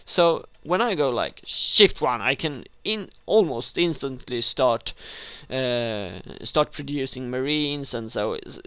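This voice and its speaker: Slow-talking Swedish man, dry close sound. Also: medium pitch, expressive, a bit bright, smooth, no room sound. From Sweden. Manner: hesitant, many fillers and restarts. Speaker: gaming tutorial host. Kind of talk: rambling screen-recorded game tutorial.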